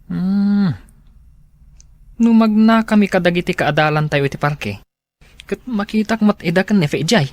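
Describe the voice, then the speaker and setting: A woman's voice: mid-range voice, normal speed, pauses often, a little mellow, no echo, lightly acted. A woman playing a matter-of-fact young student in a radio drama.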